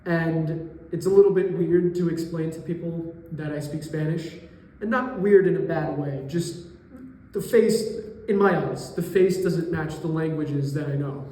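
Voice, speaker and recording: A young man in his twenties, a college student from New York, answering interview questions thoughtfully and pausing to find his words. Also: a little high, warm tone. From New York area, US. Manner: thoughtful, pauses to search for words. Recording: echoey room with long reverb.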